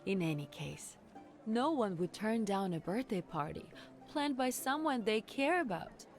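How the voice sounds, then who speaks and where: A woman's voice: medium pitch, animated, measured pace, faint music behind. An actress playing a composed, kindly woman of the sea folk, a stage performer, in a story game. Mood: knowing. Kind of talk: game voice acting.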